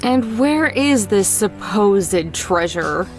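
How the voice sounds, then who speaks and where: A woman's voice: mid-range voice, animated, slow pace, music in the background. A woman playing a sensible young traveler in a visual novel, skeptical, dry and pointed.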